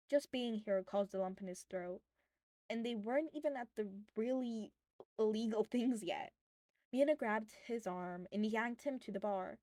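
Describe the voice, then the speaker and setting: A woman's voice: medium pitch, a little mellow, no room sound. A woman reading fan fiction aloud as scripted, audiobook-style narration.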